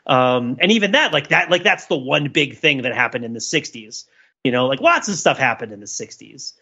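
A man's voice: medium pitch, expressive, a little fast, gravelly, sharp tone, slightly rough, highs cut off. A man co-hosting a podcast, giving casual, opinionated cultural commentary in a rambling, digressive way, as if thinking aloud. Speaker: podcast co-host.

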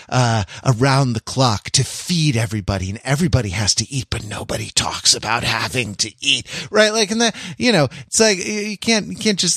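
A male podcast co-host with a medium-pitched voice, recorded dry and close. He speaks casually and haltingly, hedging and restarting as he works out an idea. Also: fairly bright tone, no room sound. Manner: halting, hedging, frequently restarting mid-thought. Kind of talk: casual discussion of stories and genre.

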